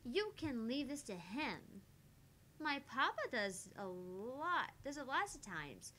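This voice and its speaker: A woman's voice: fairly high pitch, big pitch swings, slow pace, sharp tone, pitched above the performer's own voice, heavily acted. A woman voicing a cheerful, trusting little girl with a childlike voice, reading visual novel dialogue. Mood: cheerful, reassuring.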